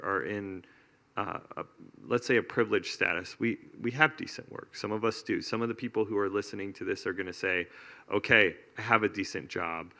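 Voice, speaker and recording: A low-pitched man with a gravelly edge to his voice moderates a panel discussion. His tone is bright and forceful as he works through long, searching questions, often restarting his phrasing.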